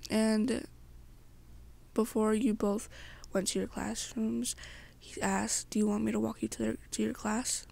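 A young woman telling an ASMR roleplay story in the second person, her breathy voice sometimes dropping toward a whisper. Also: fairly high pitch, level tone, unhurried, darkish tone.

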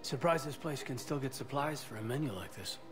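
An actor playing a weary male soldier who talks softly and earnestly. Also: mid-range voice, animated, brisk, close to an everyday voice. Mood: reflective. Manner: soft, earnest, reflective. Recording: game audio under music.

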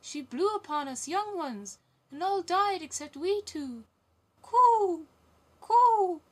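A woman's voice: extremely high pitch, expressive, slow, pitched above the performer's own voice, full character voice. A woman voicing small plaintive wood pigeons in a storybook, with a cooing delivery. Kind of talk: storybook character voice.

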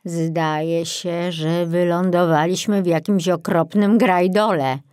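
A woman's voice: lowish voice, steady tone, slow, a little mellow, dry recording. A woman voicing a drowsy, grumbling male forester in a children's audiobook. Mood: bitter, muttering. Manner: muttering, slightly bewildered.

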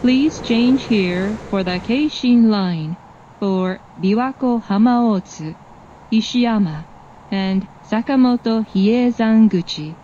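Slow, soft-toned woman giving transfer notices. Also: a little high, slight background noise. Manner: measured, formal. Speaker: automated train announcer. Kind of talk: scripted English transfer announcement. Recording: dull, muffled announcement recording.

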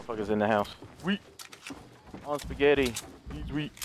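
A man's voice: brisk, a little hiss or hum. A man hosting a casual gaming video who talks to his viewers and then chats with a teammate during play, his voice strained from recent overuse. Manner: energetic, upbeat intro, then low-key gameplay chat.

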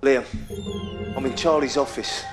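A man's voice: mid-range voice, steady tone, unhurried, lightly acted. A man playing a desperate, defiant father caught up with gangsters in a video game, urgent and sardonic, with a London accent. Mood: tense urgency. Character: desperate, defiant father forced into gangland work. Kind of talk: video game cutscene acting. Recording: loud music over the voice.

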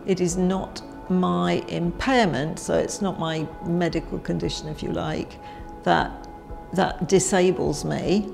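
A middle-aged British woman speaking in a measured way as a documentary interviewee. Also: on the low side, steady tone, gravelly. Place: indoor office meeting room. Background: music.

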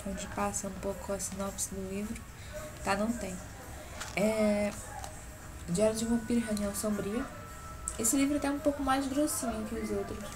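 A woman's voice: medium pitch, steady tone, normal speed, pauses often, poor audio. A young woman from Brazil, nasal from a cold, chatting casually about her books on a webcam in her bedroom, often checking in with her viewers. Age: young adult. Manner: chatty, keeps adding "tá?" checks. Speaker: book blogger. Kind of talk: casual vlog book show-and-tell. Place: small bedroom at home. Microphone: webcam at close range. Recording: neighbours' music heard behind. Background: neighbours' music playing.

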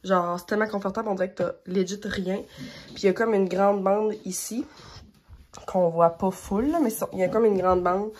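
A woman's voice: mid-range voice, fairly even intonation, normal speed, a little mellow. A young woman with a Québécois French accent chatting casually in an upbeat, rambling way for her vlog, filmed on a phone held at arm's length. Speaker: vlogger. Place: bedroom and car.

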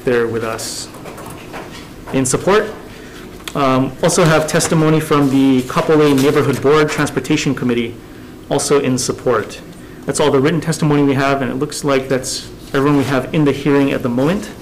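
A man runs a committee hearing in a measured, businesslike way. His voice, at an ordinary pitch, comes through a desk microphone in a government hearing room. A faint hum sits underneath. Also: rough recording. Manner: measured, businesslike, reading out agenda items. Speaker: committee chair. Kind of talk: formal hearing procedure.

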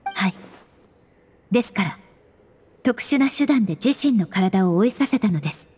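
A woman voicing a composed, polite young female investigator in an anime game, formal and analytical, with a soft breathy voice. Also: medium pitch, expressive, normal speed, faint music behind. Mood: calm, analytical.

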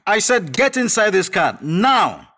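Stern high-pitched Nigerian uncle, highly animated. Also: male voice, a little slow, exaggerated voice. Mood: angry scolding. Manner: scolding, interrogating, commanding. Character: stern, suspicious professor uncle scolding his nephew. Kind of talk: radio drama acting.

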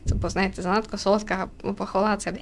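A woman from Ukraine giving casual, chatty let's-play commentary, halting as she thinks aloud and often giggly. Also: mid-range voice, little pitch movement. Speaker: gaming streamer. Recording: faint room noise and ambience.

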